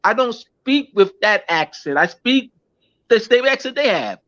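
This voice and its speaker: A man, a schoolteacher, talking in a casual interview, halting with many restarts and fillers. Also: high-pitched, big pitch swings, a bit bright. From United States. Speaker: schoolteacher guest. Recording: dull lo-fi recording.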